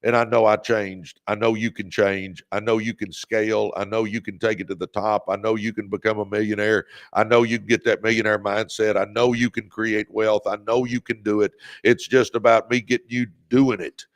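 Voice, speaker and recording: A man in his fifties hosting a live-stream podcast, delivering an energetic, repetitive pep talk in a booming voice. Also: moderate pace, hardly any pauses. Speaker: podcast host.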